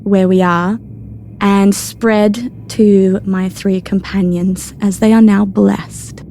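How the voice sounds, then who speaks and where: A woman's voice: mid-range voice, steady tone, slow pace, dark tone, a little hiss or hum. A woman playing a tabletop game, describing her character in a storytelling style.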